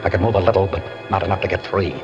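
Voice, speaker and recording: A man's voice: sits low, little pitch movement, racing, over music, natural voice. An actor playing a handcuffed escaped prisoner who narrates his story in wry, tense first person, in 1940s radio acting style. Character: handcuffed escaped male prisoner, wary and scheming. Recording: dull old radio broadcast.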